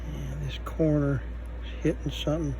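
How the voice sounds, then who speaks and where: A man giving unscripted hands-on repair commentary, halting and thinking aloud as he works. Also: a little high, level tone. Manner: halting, muttering thoughts aloud while working. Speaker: vintage electronics repair video host. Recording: faint background noise.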